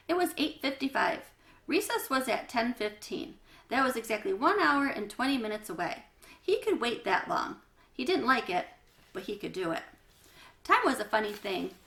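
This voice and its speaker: Bright-voiced woman reading a children's book, roomy sound. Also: fairly high pitch. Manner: explains hard words in asides. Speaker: read-aloud storyteller. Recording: roomy, echoey room sound.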